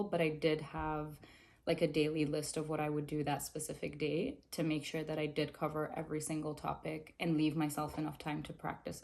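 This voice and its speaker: A young woman from Zambia, a dental student, answering interview questions to camera in a measured, earnest way from a small room. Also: sits low, darkish tone. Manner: measured, earnest, explains with hand gestures. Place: small indoor room, plain wall.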